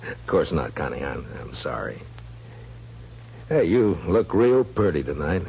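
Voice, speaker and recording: An actor playing a stern, world-weary frontier marshal in a radio western, speaking in a terse, dry, blunt way. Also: male voice, extremely low pitch, very animated, a little slow, creaky, a little mellow, full character voice. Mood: smooth, guarded. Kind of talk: 1950s radio western acting. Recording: muffled, noisy old radio recording.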